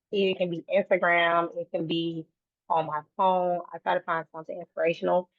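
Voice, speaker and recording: A woman speaks earnestly and a little low, often restarting her phrases. The sound is tinny and phone-like, with no room echo at all. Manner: earnest, repeats and restarts phrases, faith-filled. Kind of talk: casual interview conversation. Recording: dry, tinny phone-like line.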